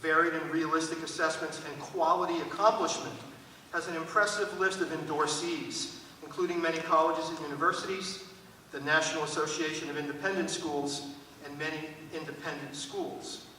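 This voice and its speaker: Man, formal event opener, higher-pitched and forceful, recorded from afar in a very reverberant hall. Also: fairly even intonation. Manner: formal, measured wording. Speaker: school administrator opening a panel event. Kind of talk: prepared welcome speech to an audience. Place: large echoing hall at a public event. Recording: echoey hall, distant mic, faint noise.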